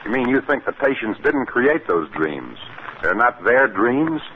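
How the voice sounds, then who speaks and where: A man's voice: medium pitch, big pitch swings, a little slow. An American actor playing a doctor's questioning male colleague in a 1950s radio drama. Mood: skeptical. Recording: noisy, narrow-band 1950s radio recording.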